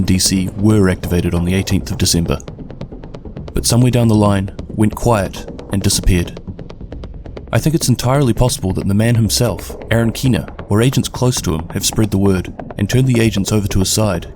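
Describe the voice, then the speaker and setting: A man with a New Zealand accent narrates game lore as the host of a video. His voice sits on the low side. Music plays prominently behind his scripted delivery. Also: a little fast. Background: prominent background music.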